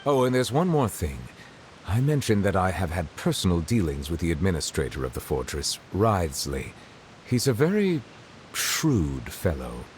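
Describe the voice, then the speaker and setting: Game official: very deep, formal and measured, faint hiss behind. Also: male voice, very animated, darkish tone. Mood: composed. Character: formal, composed male official, polite and measured. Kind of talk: video game voice acting.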